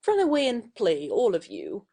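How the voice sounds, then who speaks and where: An actress playing an imperious but warm-hearted young lady of the house, bossy and emphatic, in a satirical audio play. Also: mid-range voice, huge pitch swings, normal speed, creaky, full character voice.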